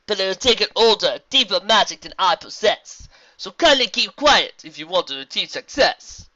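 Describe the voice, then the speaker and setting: A woman voicing a wise, calm zebra sorceress who speaks in deliberate, formal rhymed phrases. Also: mid-range voice, no room sound, highs cut off, pitched above the performer's own voice. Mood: stern.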